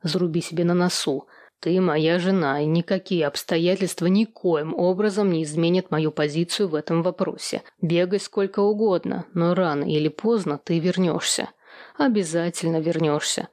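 A woman's voice: fairly low pitch, normal speed, a little mellow, no room sound, clean studio sound, close to an everyday voice. A woman voicing a possessive, controlling ex-husband with a stern, commanding, menacing manner in an audiobook.

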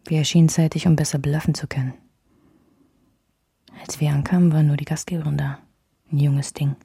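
An actress playing a woman recalling her bohemian youth in an intimate radio memoir, breathy and raspy, at times close to a whisper. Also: sits low, level tone, brisk, warm tone, dry recording, heavily acted. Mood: reflective.

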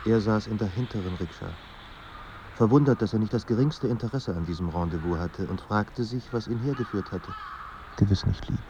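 An actor playing a somber, measured literary narrator in a German radio play. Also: male voice, on the low side, warm tone, natural voice. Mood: somber, reflective. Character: somber male literary narrator of a troubled writer's life. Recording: dull sound with faint background noise.

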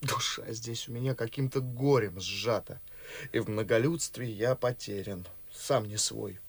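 Slow-spoken, weary young idealist. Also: male voice, medium pitch, vocal fry, breathy edge. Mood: anguished weariness. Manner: world-weary verse monologue. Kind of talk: stage-classic verse radio acting.

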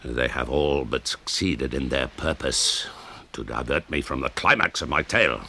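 A man's voice: extremely low pitch, vocal fry, pushed and bright, slight background noise, heavily acted. An actor playing an embittered old author who narrates his tale in a radio drama, wry, scornful and grandiloquent.

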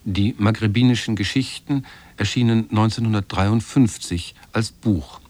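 A man's voice: fairly low pitch, fairly even intonation, normal speed, darkish tone, dry recording. A man reading a scripted broadcast introduction as a radio announcer.

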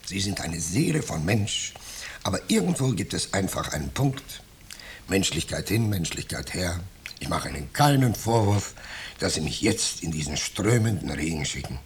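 An actor playing an ingratiating, self-pitying vagrant, mock-humble and plaintive, in a 1950s German radio drama. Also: male voice, low voice, sing-song, normal speed. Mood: plaintive, reproachful. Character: wheedling, self-pitying male vagrant, falsely humble houseguest. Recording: noisy, clicking 1950s radio recording.

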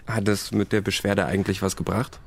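A man's voice: on the low side, level tone, brisk, gravelly. Cheeky young man, faint noise. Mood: playful. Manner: playful, teasing. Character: cheeky, teasing young male friend. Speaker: actor in a radio play. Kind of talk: naturalistic German radio drama acting.

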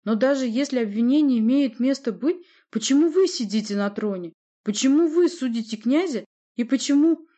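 A courtly boyar from a fantasy storybook, voiced by a woman narrator. He is timid yet persistent, with a raised pitch and formal, polite, questioning speech. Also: animated, normal speed, few pauses, mellow, close to an everyday voice.

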